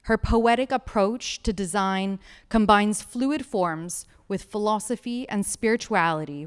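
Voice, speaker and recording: A woman hosting a gallery event, reading a formal, measured introduction to an audience in an event hall. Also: mid-range voice, clean tone. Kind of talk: scripted introduction.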